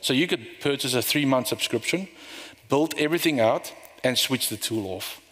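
A middle-aged man giving a conference talk, walking through a live software demo in a loose, rambling way with fillers and restarts. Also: medium pitch, normal speed. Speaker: conference speaker. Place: conference room. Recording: long reverb of a hall.

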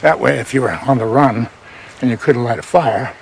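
Gravelly, easygoing seasoned cowboy played by a man. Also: medium pitch, normal speed, slight background noise, close to an everyday voice. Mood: wry, reminiscing. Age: middle-aged. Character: easygoing seasoned old outlaw mentor, cowboy. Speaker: actor in a western series. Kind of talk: screen acting, casual western dialogue. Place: outdoors by a river.

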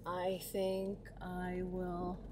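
A woman's voice: on the low side, monotone, very slow, a little mellow. A young woman from Portugal talking casually to a dashboard camera while driving, thinking aloud with fillers. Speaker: vlogger, dancer. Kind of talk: casual vlog talk to camera. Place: inside a car. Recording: noisy car interior.

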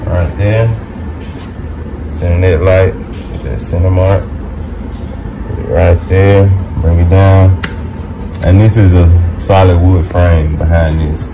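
A man's voice: low voice, steady tone, takes it slowly, gravelly, pauses often. A man in his thirties hosting a casual DIY video, informally talking through each step as he works in a noisy home room. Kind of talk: casual hands-on product demo and tutorial. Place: small home game room, indoors.

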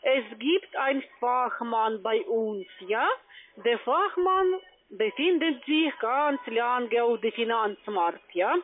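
A woman with a foreign accent, not a native speaker, giving a pushy phone sales pitch as a call-center agent, often tagging on a questioning word. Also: animated, slow. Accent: foreign accent in German. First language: not German. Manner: pushy, repeatedly tagging phrases with "ja". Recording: tinny narrowband phone line.

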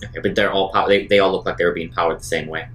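A man with a low voice plays a tabletop roleplaying game. He talks quickly and casually, repeating words as he thinks out loud. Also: steady tone, soft background music.

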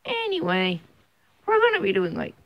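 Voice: very high voice, wildly swooping pitch, normal speed, dry recording, dull audio. An amateur video creator chatting casually and apologetically to a camera close up in a small bedroom, rambling and self-conscious. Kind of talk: casual chat to camera introducing a homemade puppet show. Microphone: camera on the bed, close up.

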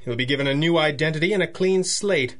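An actor playing a composed, gentlemanly superhero in a 1930s radio drama, with a measured, formal and dry manner. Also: male voice, fairly high pitch, lightly acted. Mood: satisfied, assured. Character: composed, gentlemanly male masked superhero, the Red Panda.

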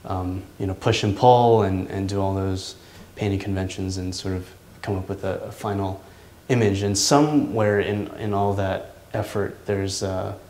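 A man in his thirties, an artist, talking reflectively in a seated interview in his studio, pausing often with ums and restarts. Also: low-pitched, fairly even intonation, darkish tone. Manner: thoughtful, halting, many ums and restarts. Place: large indoor art studio. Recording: echoey studio room.

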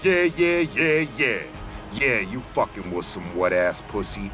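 A man's voice: fairly low pitch, big pitch swings, measured pace. A man in his forties giving an emphatic, theatrical mock-dramatic reading of rap lyrics. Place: outdoors among trees. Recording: music under the voice. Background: music.

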